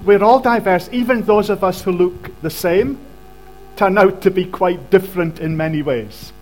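A man with a notably high voice preaches in short, measured phrases. He pauses after each line so it can be translated, and faint music plays behind him. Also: a little mellow. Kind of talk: sermon with interpreter.

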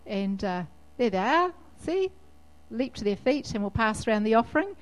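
A woman's voice: a little high, very animated, normal speed. A woman leading a church service, who chats in a friendly way with a guest and leads a prayer.

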